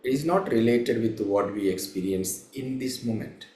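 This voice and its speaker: A man guiding a meditation as a teacher, speaking in measured, halting short phrases with long pauses between them. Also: mid-range voice, a little mellow. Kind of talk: slow guided meditation instruction. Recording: roomy, echoing room sound.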